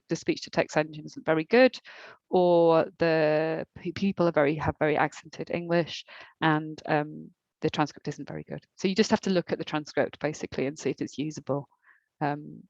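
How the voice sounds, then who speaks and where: A woman with a slight accent and a lowish voice works through audience questions as a subtitling trainer, pausing often with ums and restarting her sentences. The sound is a dull, lo-fi video call with a dry, close feel. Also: gravelly, no room sound. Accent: slight non-native accent. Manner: hesitant, many ums and restarts, reads questions aloud. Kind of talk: answering audience questions in a webinar.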